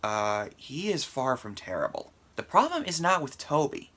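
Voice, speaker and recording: A man's voice: medium pitch, very animated, normal speed, pushed and bright. A man giving a casual, opinionated movie review in an emphatic conversational way, sounding a little congested with a sore throat. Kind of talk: casual video review commentary.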